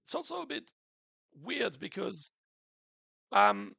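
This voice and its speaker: A man's voice: very high-pitched, sing-song, slow pace, gravelly, bright, forceful tone, breathy edge, dry recording. A man hosting a game's community stream, explaining updates in an earnest, casual way with many restarts; his throat is a bit sore after the flu. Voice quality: unusual voice, in his words.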